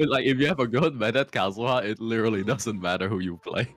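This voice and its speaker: A man's voice: on the low side, brisk, soft background music. A man giving game advice in casual, informal chat with a wry, teasing manner. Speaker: guest advising the streamer on the game.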